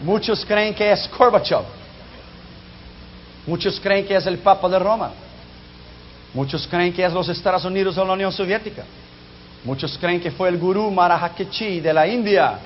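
Male preacher, non-native speaker, high voice, emphatic delivery; lo-fi, muffled recording. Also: normal speed, pauses often, a little hiss or hum. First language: not Spanish. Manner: emphatic, drops low and soft on key lines. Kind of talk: sermon on prophecy. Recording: dull lo-fi recording.

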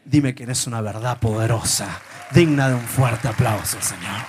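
A man from Argentina with an Argentine accent, preaching a sermon to a congregation and telling humorous stories with lively, animated delivery. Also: medium pitch, moderate pace, crowd noise, background noise. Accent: Argentine Spanish. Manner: humorous, animated storytelling, shifts to whispers. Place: church before a live congregation.

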